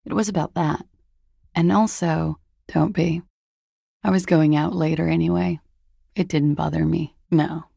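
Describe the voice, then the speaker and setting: An actress playing a cool, guarded young woman in a video game, with a husky, breathy, gravelly voice and a languid, dryly understated delivery. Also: lowish voice, darkish tone, no room sound, exaggerated voice. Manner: languid, dry, wryly understated. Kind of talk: video game character dialogue.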